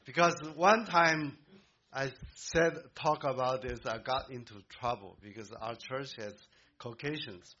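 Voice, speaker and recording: A man born in Korea, preaching a sermon to a congregation in an emphatic way, with halting restarts. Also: mid-range voice, sharp tone, highs cut off. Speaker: pastor.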